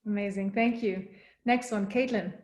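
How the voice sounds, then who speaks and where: A woman hosting a video call, moving quickly through introductions with friendly, brief affirmations. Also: medium pitch, steady tone, darkish tone, roomy sound. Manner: friendly, brisk, short affirmations. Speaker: event host.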